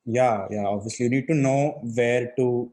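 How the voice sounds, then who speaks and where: A man's voice: mid-range voice, moderate pace, warm tone. A man hosting a podcast, asking casual, curious questions. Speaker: podcast host. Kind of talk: casual interview chat.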